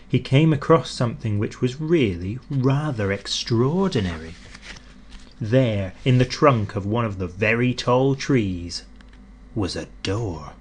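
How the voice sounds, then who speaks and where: Animated man reading a children's storybook. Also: mid-range voice, breathy edge. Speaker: audiobook narrator.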